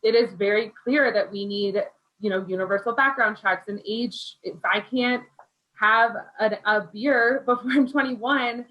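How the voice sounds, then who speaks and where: A woman's voice: on the high side, unhurried. Indignant young woman educator, dull sound. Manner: earnest, indignant, casual. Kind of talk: group discussion.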